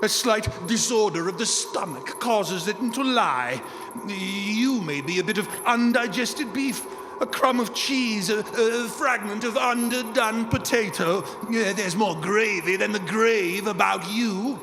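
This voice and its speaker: A man's voice: sits high, unhurried, music in the background, rough recording. An actor playing a grouchy, miserly old man in radio theater, blustering, muttering and exclaiming. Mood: scornful. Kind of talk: live radio theater acting. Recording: heavy background noise, live stage recording.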